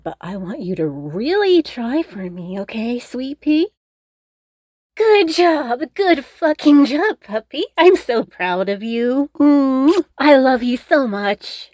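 A woman playing a doting, teasing, dominant girlfriend in an intimate roleplay audio, cooing and coaxing, sometimes slipping into soft whispers. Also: mid-range voice, dry recording. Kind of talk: intimate scripted roleplay audio addressed to the listener.

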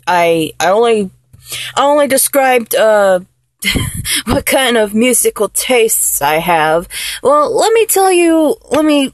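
A freshly transformed young man, voiced by a solo performer, rambles in a slow, stammering way and keeps breaking into giggles. His voice is mid-pitched and unhurried. The recording is dry and close. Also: no room sound, natural voice. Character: man just transformed from a woman, giddy, awkward. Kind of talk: solo audio roleplay.

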